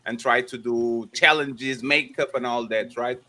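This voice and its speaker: A man's voice: medium pitch, fairly even intonation, a little slow, sharp tone. A man hosting a casual panel discussion, stumbling and repeating words as he presses the others with questions. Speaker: panel host.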